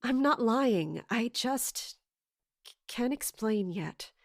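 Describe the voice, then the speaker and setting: An actress playing a weary, secretive woman in charge, measured and firm, in an audio drama. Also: a little high, animated, dry recording, clean studio sound. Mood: evasive, earnest.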